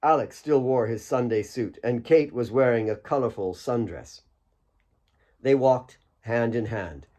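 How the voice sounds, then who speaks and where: A man's voice: medium pitch, a little slow, a little mellow. A man reading his own fiction as a scripted audiobook narration.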